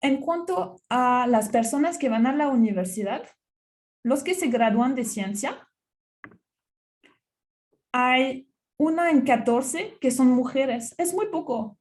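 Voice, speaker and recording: A woman's voice: high-pitched, expressive, slow, pauses often, a little mellow. A middle-aged woman from France with a French accent gives a conference talk as a university researcher, with many fillers and restarts.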